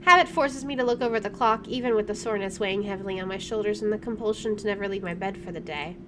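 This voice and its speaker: A chatty, expressive woman reading a visual novel aloud as she plays, with casual jokey commentary. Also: medium pitch, racing, noisy background. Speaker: gamer doing a first playthrough.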